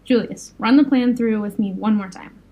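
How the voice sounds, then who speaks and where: A woman's voice: fairly high pitch, moderate pace, dark tone, lightly acted. A woman playing a cautious, responsible fire-powered supervillain in an audio drama, careful, firm and earnest.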